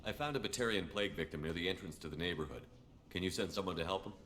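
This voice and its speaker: Deep-voiced no-nonsense soldier hero. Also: male voice, rapid-fire, fairly bright tone. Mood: level, inquiring. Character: tough, no-nonsense human male soldier commander, fair but threatening. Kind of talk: video game dialogue acting. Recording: long hall-like reverb.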